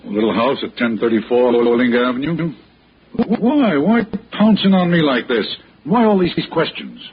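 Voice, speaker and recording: A man's voice: mid-range voice, lively intonation, moderate pace, lightly acted. A man playing a frightened, bewildered suspect in a 1960s radio drama, stammering and pleading. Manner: agitated, stammering. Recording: dull, noisy vintage radio recording.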